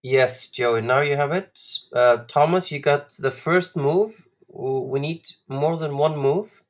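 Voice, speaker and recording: A man from Iceland with an Icelandic accent, teaching a live online lesson as a coach in a halting, deliberate way with long pauses. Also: fairly high pitch. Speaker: guest chess coach. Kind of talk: live online lesson, talking through puzzles to students.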